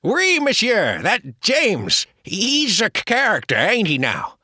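A man reading an audiobook, voicing an excitable stuttering young male store clerk with a French accent. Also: high voice, slow, dry recording, clean studio sound, pitched above the performer's own voice, exaggerated voice. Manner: stuttering, chattering. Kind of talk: audiobook character voice.

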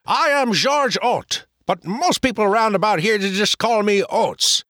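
A man reading an audiobook, voicing an excitable stuttering young male store clerk with a French accent. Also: sits high, measured pace, dry recording, studio quality, pitched above the performer's own voice. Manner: stuttering, chattering. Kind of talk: audiobook character voice.

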